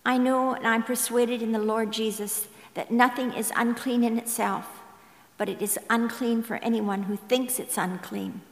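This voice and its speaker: Echoey higher-pitched woman reading scripture. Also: fairly even intonation, moderate pace. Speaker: scripture reader at a church service. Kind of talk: scripture reading aloud to a congregation. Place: large indoor church space. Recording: echoey, reverberant church hall.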